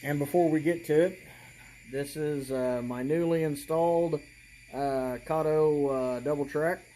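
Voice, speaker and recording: A man casually presenting a hands-on hobby demonstration, talking haltingly and thinking aloud as he works. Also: on the high side, fairly even intonation, warm tone, a little hiss or hum, low-quality recording.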